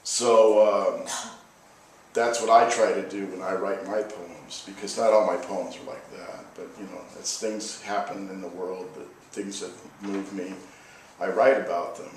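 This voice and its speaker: An American man speaking as a poet at a live reading, with measured, deliberate phrasing. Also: low voice, level tone, darkish tone, slight background noise. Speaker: poet reading own work. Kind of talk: live poetry reading with informal spoken introduction. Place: reverberant room at a live reading. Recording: echoey live room, distant microphone.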